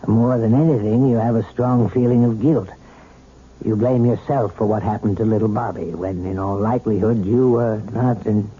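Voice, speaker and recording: An actor in a 1970s radio drama playing a patient, probing hypnotherapist with a coaxing, deliberate manner. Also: male voice, mid-range voice, a little hiss or hum, lightly acted. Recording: tinny old radio broadcast with music.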